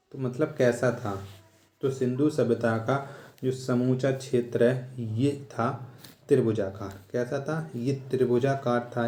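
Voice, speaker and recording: Man teaching, mellow voice. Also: medium pitch. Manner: repetitive, rhetorical questioning, instructional. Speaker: exam coaching teacher. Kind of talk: quiz lesson, reading questions and answers aloud.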